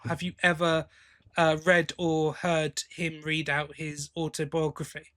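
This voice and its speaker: A man's voice: a little high, monotone, a little slow, a bit bright. A man with a British accent co-hosting a podcast, chatting casually in a blokey, teasing way. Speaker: podcast co-host. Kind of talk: casual chat, fan review.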